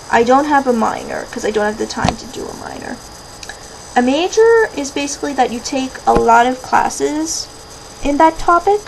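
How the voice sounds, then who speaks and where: A woman in her twenties with an American accent, chatting casually to camera as a vlogger and rambling into asides. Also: high voice. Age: 20s. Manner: rambling, self-interrupting asides. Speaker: vlogger answering viewer questions. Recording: clearly noisy background hiss and ambience.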